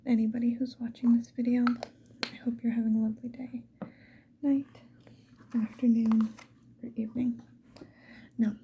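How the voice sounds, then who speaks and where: A woman doing casual ASMR, whispering softly and musing to the viewer in an unhurried get-ready-with-me chat. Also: on the high side. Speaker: ASMR video creator. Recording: muffled lo-fi with background hiss.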